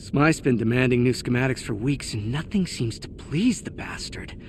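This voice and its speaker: An actor playing a weary, cynical young corporate villain recording a sardonic audio log in a video game. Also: male voice, mid-range voice, animated, normal speed, background noise, close to an everyday voice. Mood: irritated. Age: young adult. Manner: grumbling, sardonic.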